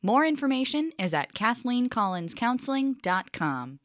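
Woman announcer, lively up-and-down pitch, scripted sponsor read, dry sound. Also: moderate pace, no echo.

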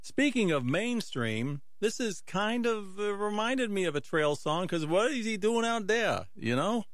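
Guy hosting a music radio show, chatty n rambling, thinks out loud, real dry close sound. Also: high-pitched, very animated, measured pace, dry recording. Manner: chatty, rambling, musing aloud. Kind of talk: casual disc jockey talk between records.

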